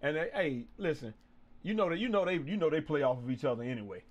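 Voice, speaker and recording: Man, sports chat host, animated, slightly high voice. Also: dull audio. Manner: animated, quick to quote and shout out viewers. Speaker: podcast host.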